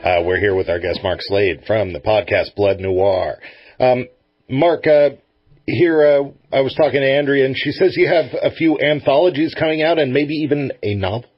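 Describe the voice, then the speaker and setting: A man's voice: mid-range voice, normal speed, husky, no echo, faint music behind. A man hosting a radio show, chatting informally and enthusiastically with a guest. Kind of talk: casual interview chat. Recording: muffled, lo-fi radio feed.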